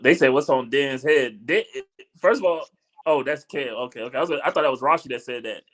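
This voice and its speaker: Bright-voiced joking podcast host. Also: male voice, mid-range voice, fast. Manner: joking, teasing, laughs a lot. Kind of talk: casual chat.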